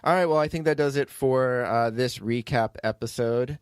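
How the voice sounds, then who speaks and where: A man with a mid-pitched voice chats as a podcast host. He talks informally and hesitantly, rambling as he goes, without a script. The recording is dry, with very little room sound. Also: moderate pace, clear, resonant tone, dry recording. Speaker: podcast host, audio drama writer and producer. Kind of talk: casual unscripted podcast chat.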